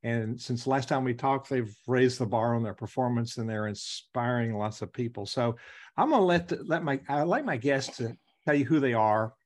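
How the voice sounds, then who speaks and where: A man's voice: medium pitch, normal speed. A man from the American South hosting a podcast interview in a chatty, enthusiastic way. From Southern United States. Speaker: podcast host.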